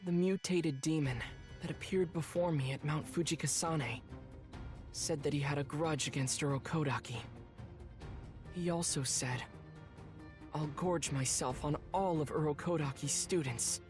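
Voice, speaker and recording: A man's voice: fairly high pitch, a little slow, music in the background, lightly acted. An actor playing an earnest, compassionate teenage boy swordsman who narrates his memories reflectively in a video game. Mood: grave, puzzled. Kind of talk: scripted narration recounting memories.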